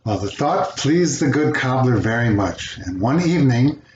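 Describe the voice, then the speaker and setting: An older man reading a children's fairy tale aloud as a storyteller, with an expressive delivery. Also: medium pitch, normal speed. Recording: roomy, dull home recording, faint noise.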